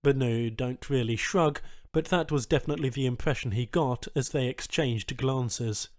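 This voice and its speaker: A man's voice: medium pitch, normal speed, dry recording, clean studio sound. A man reading a science fiction short story as scripted audiobook narration.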